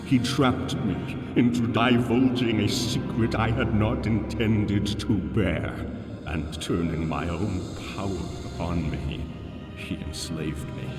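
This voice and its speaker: Slow, echoing ancient alien god. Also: male voice, fairly low pitch, steady tone. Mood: grave, mournful. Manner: solemn, grandiose, slow archaic delivery. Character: ancient, enslaved, sorrowful alien god, wise and broken. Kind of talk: full-cast fantasy audio drama monologue. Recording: cavernous echoing reverb, distant, music underneath. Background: music.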